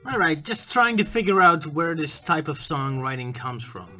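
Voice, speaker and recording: A man with a bright, forceful voice talks through his thoughts in a relaxed, conversational way. Faint music plays underneath his commentary. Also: fairly high pitch, moderate pace. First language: Dutch. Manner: musing, conversational, bursts into playful mock singing. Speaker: video essay host. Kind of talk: casual spoken commentary.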